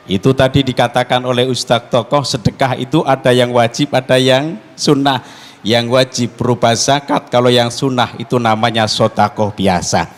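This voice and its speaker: High-voiced Tegal Javanese preacher, echoing hall. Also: male voice, fairly bright tone. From Tegal, Central Java, Indonesia. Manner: jokey, quizzes listeners with call-and-response questions. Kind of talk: interactive sermon to a congregation. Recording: long hall reverb.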